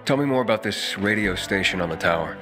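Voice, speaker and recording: A man's voice: on the low side, animated, quick pace, music in the background, lightly acted. An actor playing a young male survivor who asks dry, blunt questions. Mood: wry.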